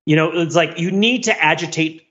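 Guy on a podcast, higher voice, goes all over the place, rambly and funny, bit lo-fi. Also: fairly quick. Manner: rambling, animated riffing with piled-up asides. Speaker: podcast co-host. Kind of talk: casual comedic film discussion.

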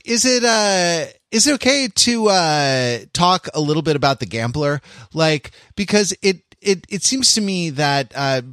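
A man's voice: a little high, fairly bright tone, dry recording, clean studio sound. A man co-hosting a podcast, chatting casually and riffing with mock indignation about pop culture. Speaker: podcast co-host.

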